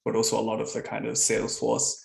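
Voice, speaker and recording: New Zealand guy, guest presenter; gravelly, relaxed, roomy video-call sound. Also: fairly low pitch, quick pace. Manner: relaxed, conversational storytelling. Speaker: guest speaker, software founder. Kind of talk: online meetup presentation.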